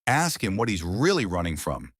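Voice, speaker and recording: A man's voice: lowish voice, sing-song, normal speed, dry recording, studio quality. A man voicing a desperate gunman who insists, pleading, that she listen.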